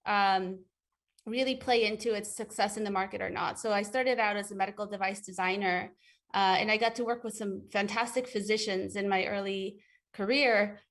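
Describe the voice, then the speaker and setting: A woman's voice: mid-range voice, a bit bright. A woman from Ecuador, a guest in an interview, answering thoughtfully with frequent fillers and restarts.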